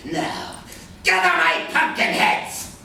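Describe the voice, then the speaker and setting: Crazed cackly villain in a radio play, taunting sing-song, drawn out, super echoey and noisy. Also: male voice, very high-pitched, big pitch swings, slow, gravelly, pushed and bright, exaggerated voice. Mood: gloating command. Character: manic, gloating male supervillain, mocking and bossy. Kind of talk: live radio play acting. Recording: echoey hall from far off, noisy.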